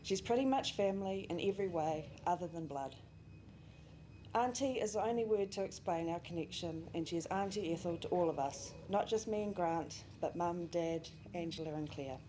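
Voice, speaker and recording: Young New woman, eulogy reader, low mellow tone, faint noise. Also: moderate pace, poor audio. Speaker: family friend giving a eulogy. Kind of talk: reading a memorial speech. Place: memorial service venue.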